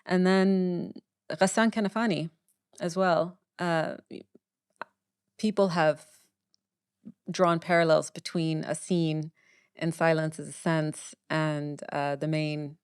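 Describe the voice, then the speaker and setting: Smooth-voiced woman writer, close and dry. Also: low voice, dry recording. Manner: thoughtful, repeats words while forming ideas. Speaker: writer, podcast guest. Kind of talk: candid conversational interview.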